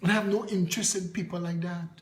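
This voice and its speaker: Fiery high-voiced Trinidadian man. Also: steady tone, quick pace, echoey room. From Trinidad and Tobago. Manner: fiery, scornful, rhetorical questions. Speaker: political party leader. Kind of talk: impassioned political address.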